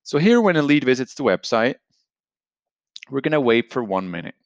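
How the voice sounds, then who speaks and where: A man giving an explanatory software tutorial, talking through it step by step in a conversational, unscripted way. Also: mid-range voice, very animated, normal speed, no echo, dull audio. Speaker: software product presenter. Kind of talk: screen-share product tutorial, explanatory.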